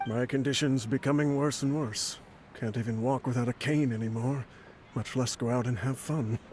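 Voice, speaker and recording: An actor voicing a frail, ailing older man writing a farewell letter, dry and joking with soft laughs. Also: mid-range voice, fairly even intonation, moderate pace, a little hiss or hum, natural voice. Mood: wry. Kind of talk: game voice acting.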